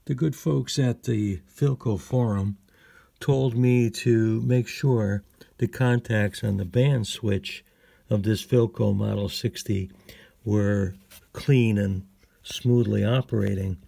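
A man casually narrating a hands-on repair as a hobbyist, hesitant and pausing often, recorded on a handheld phone. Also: lowish voice, fairly even intonation, creaky, dark tone, dry recording. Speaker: hobbyist radio restorer.

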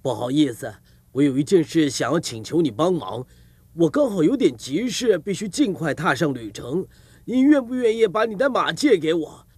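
Husky, hearty rich gentleman. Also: fairly high pitch, very animated, moderate pace, dry recording. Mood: polite, grateful. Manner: effusive, courteous, swooping delivery. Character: genial wealthy middle-aged Japanese villa owner, polite and hearty. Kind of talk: Mandarin cartoon dub of a folk tale.

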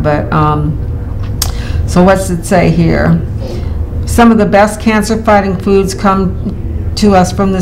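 Older woman host, low-ish voice, chatty and halting as she reads notes, clip mic in a small studio, faint hum. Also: a little mellow, poor audio. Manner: chatty, reads from notes with frequent ums and restarts. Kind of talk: casual health talk, reading notes. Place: TV studio in front of a virtual set.

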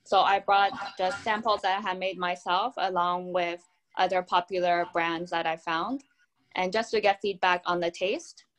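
A woman's voice: lowish voice, steady tone, moderate pace. Upbeat young woman on a video call. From Taiwan. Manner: upbeat, explanatory. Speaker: drink brand founder. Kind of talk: video call panel answer. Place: home room.

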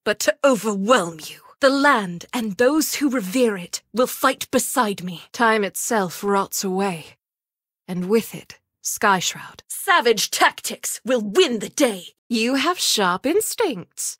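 A woman's voice: mid-range voice, fairly bright tone, dry recording, studio quality. An actress voicing a proud, fierce elf woman who guards an ancient forest, speaking in a formal, imperious, defiant manner for a video game. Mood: commanding, defiant. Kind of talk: video game character voice lines. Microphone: close studio mic.

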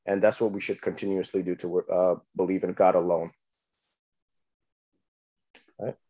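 Low-voiced young man, earnest. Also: level tone, brisk, warm tone, no echo. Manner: earnest, filler-heavy, seeks agreement. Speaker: guest sharing his story. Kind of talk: casual personal testimony on a video call. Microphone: laptop webcam mic on video call.

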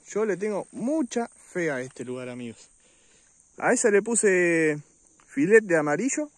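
A man's voice: high voice, no room sound. A man with an Argentine accent casually narrating an outdoor fishing vlog, chatting informally to his viewers. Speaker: fishing vlogger. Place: outdoors on a riverbank.

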